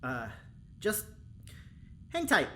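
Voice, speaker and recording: A man's voice: high voice, huge pitch swings, drawn-out words, fairly bright tone, slight background noise, heavily acted. A man playing an anxious, well-meaning young lab assistant in an audio drama, with a hesitant, stammering manner. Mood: flustered.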